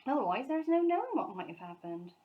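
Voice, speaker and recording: Reader doing a brisk no-nonsense woman in a kids story, proper, fond, swoopy pitch. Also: very animated, phone-quality sound. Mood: fond relief.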